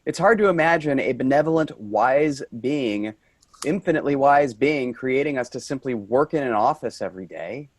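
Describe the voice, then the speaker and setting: A man's voice: medium pitch, expressive, normal speed, no room sound, close to an everyday voice. A man playing an earnest, rambling office worker, tipsy and brooding, in a staged play reading.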